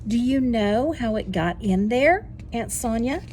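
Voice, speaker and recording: A woman voicing an inquisitive, excitable young girl with a wondering manner in a storybook reading. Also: on the high side, measured pace, slight background noise. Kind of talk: children's storybook read-aloud.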